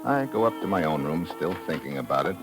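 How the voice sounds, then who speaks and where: A man's voice: a bass voice, big pitch swings, fast talker, over music. An actor playing a cool, tough investigator in an old radio thriller, dry and understated as he talks and narrates his case. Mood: brooding narration. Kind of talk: 1950s radio thriller acting, hard-boiled narration.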